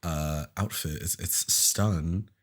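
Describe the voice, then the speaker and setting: A man's voice: low voice, slow pace. A young man co-hosting a podcast, congested from a cold, chatting casually with campy, dramatic rants and frequent laughs. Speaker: podcast co-host. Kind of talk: casual chat recapping a reality show.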